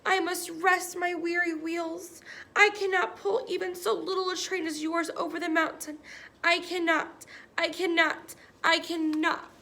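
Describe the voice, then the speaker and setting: A woman voicing a tired, rusty old male train engine in a storybook, slow and sighing. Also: extremely high pitch, flat delivery, gravelly, bright, forceful tone, voice pushed up, exaggerated voice. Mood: weary.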